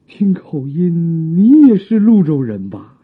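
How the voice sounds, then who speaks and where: An affectionate, proud old scholar in a radio drama. His speech swoops widely in pitch and goes slowly, with theatrical, literary phrasing. The sound is narrow and muffled, like a phone line. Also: very animated, slightly rough, exaggerated voice. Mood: curious, guarded. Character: elderly proud scholar father, exiled ex-official, affectionate.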